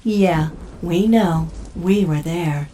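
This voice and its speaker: Pampered nervous animal, lowish and slow, bit far from mic. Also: female voice, mellow. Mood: unimpressed. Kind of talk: comedic audio drama acting. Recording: slightly distant, faint noise.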